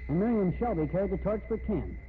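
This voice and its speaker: An actor playing a defensive, indignant jilted suspect in a 1950s radio drama. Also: male voice, high-pitched, animated, fast talker. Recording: tinny, noisy 1950s radio recording.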